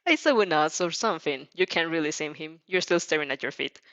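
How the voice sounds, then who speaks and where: Giggly lady reading a fanfic out loud, trips over words, bit muffled, no room sound. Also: deep voice, expressive, fairly quick, no echo. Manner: giggly, stumbles over the text. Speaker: fan fiction reader. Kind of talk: expressive amateur storytelling.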